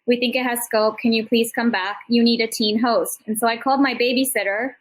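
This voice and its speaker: A woman's voice: on the high side, fairly even intonation, fairly quick, poor audio. A middle-aged woman speaking informally as a summit panel host, encouraging and effusive, rambling conversationally through anecdotes and motivation.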